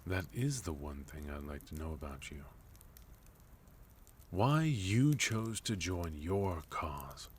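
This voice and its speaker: An extremely deep-voiced villain played by a man speaks very slowly and deliberately, as if every phrase were weighed. He is sophisticated and courteous, with a soft menace under the composure. It is an intimate ASMR roleplay delivery over faint background noise. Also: big pitch swings, heavily acted. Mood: courteous, probing. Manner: measured, courteous, softly menacing, deliberate pauses. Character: sophisticated, composed male villain, leader of enemy organization.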